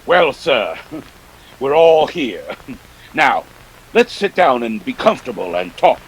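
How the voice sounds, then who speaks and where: An actor playing a jovial but menacing older crime boss in a radio drama, florid and genteel with a gravelly, husky voice. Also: male voice, medium pitch, big pitch swings, slow pace, slight background noise, low-quality recording. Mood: jovial, smooth. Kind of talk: 1940s radio drama acting.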